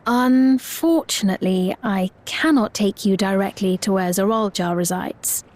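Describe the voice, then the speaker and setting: A woman playing a gracious, courtly queen in a video game, speaking formally and with measured composure. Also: medium pitch, a little mellow, close to an everyday voice. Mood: composed, regal. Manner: formal, measured, regal diction. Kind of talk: scripted video game cutscene acting.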